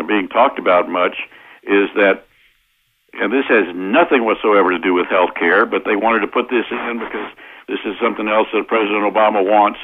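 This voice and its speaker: An American man explaining his points methodically as an interview guest, with hesitant pauses, over a tinny phone line. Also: fairly low pitch, slightly rough. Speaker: interview guest, constitutional lawyer. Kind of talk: explanatory radio interview answers.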